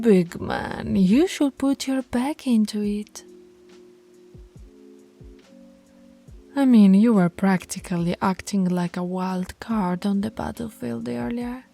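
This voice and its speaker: A woman's voice: mid-range voice, gravelly, dark tone. A woman voicing a dominant female werewolf pack alpha in an audio roleplay, teasing and commanding, often dropping to a whisper. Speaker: voice actress.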